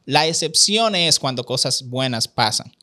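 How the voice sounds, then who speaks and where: A man's voice: mid-range voice, lively intonation, measured pace, bright, forceful tone. A man talking casually as a podcast guest, explaining his views and quoting imagined speakers. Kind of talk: casual conversational testimony.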